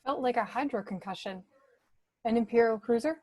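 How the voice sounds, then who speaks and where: A woman playing a proud, sharp-tongued princess in an amateur script reading, dry and curt. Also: a little high, a little fast, lightly acted. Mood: sarcastic, irritated. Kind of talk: amateur script table read.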